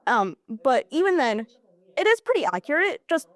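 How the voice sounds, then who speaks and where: A teenage girl hosting a student podcast, chatting casually with lots of filler words and lively opinions. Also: high voice, normal speed, dry recording. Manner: chatty, many filler likes. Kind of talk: casual chat.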